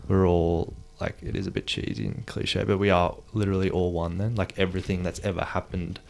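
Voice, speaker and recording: A man's voice: low-pitched, brisk, gravelly, a little mellow. A man hosting a casual chat, musing aloud and searching for words as he talks, heard on a noisy recording with clicks. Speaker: podcast host.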